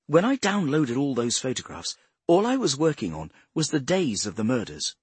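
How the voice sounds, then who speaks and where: Man putting on an earnest, higher-pitched brainy young woman. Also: sing-song, moderate pace, husky, no echo. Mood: earnest explaining. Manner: precise, insistent explaining.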